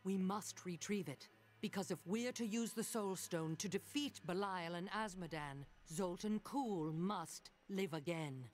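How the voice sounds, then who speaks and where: A woman voicing a mysterious middle-aged witch in a fantasy video game, with an ominous, deliberate manner. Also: lowish voice, natural voice. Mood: urgent, commanding. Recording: music behind.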